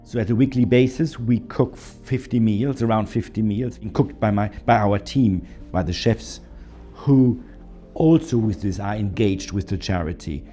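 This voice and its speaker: A man's voice: fairly low pitch, normal speed, warm tone, soft background music. A man with a German accent, a hotel managing director, telling his story in an interview with hesitant fillers. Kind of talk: documentary interview.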